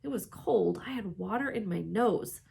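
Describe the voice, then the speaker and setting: A woman reading a children's storybook aloud in an expressive, dramatic way, with emphatic exclamations and hushed suspense. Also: mid-range voice, normal speed, gravelly, slightly rough. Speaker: storybook reader.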